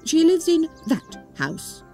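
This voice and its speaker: A woman's voice: very high-pitched, very animated, takes it slowly, mellow, soft background music, pitched above the performer's own voice, full character voice. A woman voicing a courteous fish footman in a children's storybook, formal and announcing.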